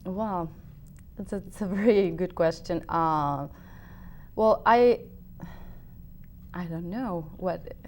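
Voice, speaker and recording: A woman's voice: fairly low pitch, slow pace, pauses often, darkish tone. A woman with a Uruguayan Spanish accent, a native Spanish speaker, answering interview questions with many restarts and fillers. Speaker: opera singer, guest.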